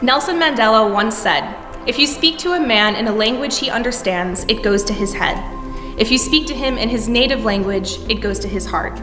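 A woman's voice: fairly high pitch, a little fast. A woman from the United States, a volunteer English instructor, reciting a scripted greeting to camera in a gallery hall. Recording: echoey hall with music behind.

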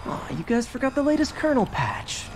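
A man's voice: extremely high pitch, huge pitch swings, brisk, noisy background, heavily acted. An actor playing a cheeky, eager young male superhero in a video game, full of quips. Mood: eager, cocky. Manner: cheeky, quippy.

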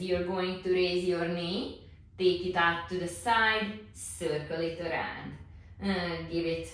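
A woman in her forties leading a pilates class at home, cueing each move calmly with many fillers. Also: fairly low pitch. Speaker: pilates instructor. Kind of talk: guided exercise instruction. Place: home exercise studio, indoors. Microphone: far camera. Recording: echoey room, voice from far off.

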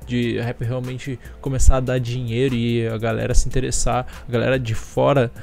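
A man's voice: mid-range voice, flat delivery, normal speed, creaky, darkish tone. Male, 20s, Brazilian accent. Informal music reviewer, slangy and conversational, mid-range voice, home studio mic, faint music. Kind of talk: casual commentary. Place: small home bedroom studio. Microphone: close desk-mounted condenser microphone.

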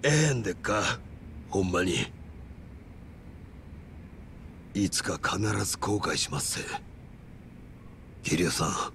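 An actor playing a scheming yakuza officer with a Kansai accent and a gravelly voice, persuading with slow swagger in a game cutscene. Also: male voice, low voice, very animated, fairly bright tone, slight background noise, exaggerated voice. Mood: warning. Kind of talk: dramatic video game cutscene acting. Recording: dramatic music under the voice.

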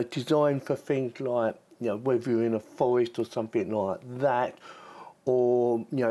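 A man with a mid-pitched voice presents a hands-on tech review. He talks in an informal, chatty way, as if thinking aloud while he shows how things work. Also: mellow. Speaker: tech reviewer and channel host. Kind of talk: casual product review and demonstration.

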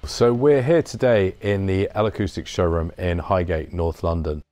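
Deep-voiced man giving a showroom tour. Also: steady tone, moderate pace, darkish tone, soft background music. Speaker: business development director giving a showroom tour. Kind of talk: informal explanatory product presentation. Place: large acoustically treated showroom.

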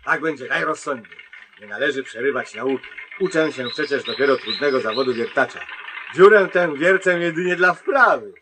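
An actor playing a gruff, self-important, curt driller in a comedy radio play. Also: male voice, mid-range voice, animated, normal speed, noisy background, lightly acted. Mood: pompous. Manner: curt, pompous, dismissive. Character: gruff, bossy, self-important man, newly trained driller.

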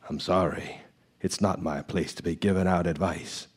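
An actor playing a sly, seductive backwoods lumberjack with a folksy, insinuating manner and quiet menace in a radio drama. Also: male voice, low voice, steady tone, moderate pace, breathy edge. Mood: sly, menacing. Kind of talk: live radio drama acting.

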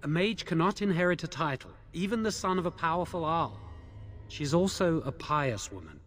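A man's voice: fairly high pitch, expressive, moderate pace, a bit bright. An actor playing an anxious, guilt-ridden young male mage in a fantasy video game, speaking in an earnest, defensive, pleading way. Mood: earnest, explaining.

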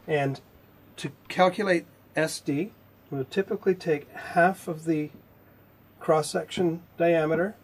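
A man in his fifties giving a technical lecture in a measured, explanatory way with pauses mid-sentence, his voice slightly husky. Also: a little high, fairly even intonation. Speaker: instructor, R&D manager. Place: indoor video studio with whiteboard.

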